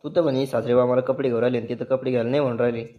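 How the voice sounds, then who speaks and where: Fast-talking grumpy village husband played by a man. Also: medium pitch, steady tone, lo-fi sound, lightly acted. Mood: mocking. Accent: rural Maharashtrian Marathi. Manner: complaining, mocking. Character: grumpy, sarcastic village husband and touchy son-in-law. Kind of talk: comedy cartoon dialogue in colloquial Marathi.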